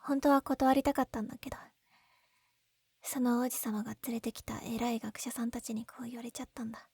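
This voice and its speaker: A woman playing a gentle, lovesick young woman in an intimate roleplay, speaking softly and breathily up close. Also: fairly high pitch, steady tone, a little fast, no echo. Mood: wistful, relieved. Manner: soft, breathy, intimate, often trailing into whispers. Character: lovesick young woman, gentle, tearful, pleading with childhood friend. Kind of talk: Japanese ASMR-style situation voice roleplay. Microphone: close binaural dummy-head microphone. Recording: very dry, close binaural recording.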